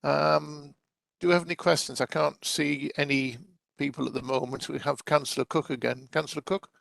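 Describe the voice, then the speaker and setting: Man, meeting chair, gravelly voice, dry sound, brief turn-giving. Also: fairly high pitch, steady tone, moderate pace, a bit bright, no echo. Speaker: panel chair.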